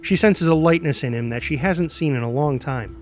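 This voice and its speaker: A man's voice: a little high, brisk, soft background music. A man reading scripted action narration as the narrator.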